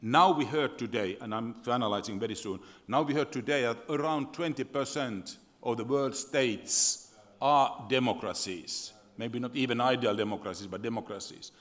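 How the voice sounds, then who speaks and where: A man's voice: mid-range voice, normal speed, fairly bright tone. Man asking a question in a hall. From Finland. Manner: earnest, repeats words, wry humor. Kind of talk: panel question from the floor. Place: large conference hall. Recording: reverberant conference hall.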